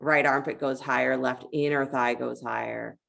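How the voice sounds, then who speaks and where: A woman teaching a guided yoga class, giving step-by-step movement cues in a conversational, encouraging manner with a creaky voice. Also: extremely low pitch, fairly even intonation, normal speed, a bit bright.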